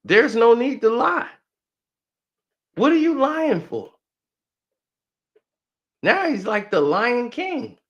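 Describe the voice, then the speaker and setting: A man's voice: extremely high pitch, sing-song, takes it slowly, pauses often, lo-fi sound. A man giving a heated, mocking sports commentary rant that repeats its points.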